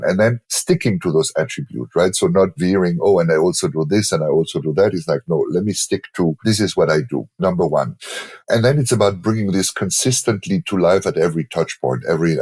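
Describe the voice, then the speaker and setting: A middle-aged man with a German accent, a guest in a podcast interview, explaining brand strategy in a relaxed, explanatory way and sometimes acting out what imagined clients say. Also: low voice, husky, no room sound.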